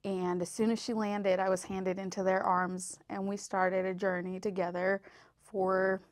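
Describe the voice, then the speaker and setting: A woman from southern Mexico, a podcast guest, telling her life story in a relaxed way with many ums. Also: mid-range voice, measured pace, no room sound, clean studio sound. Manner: relaxed, frequent ums and uhs. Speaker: podcast guest, medical interpreter. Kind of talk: casual storytelling.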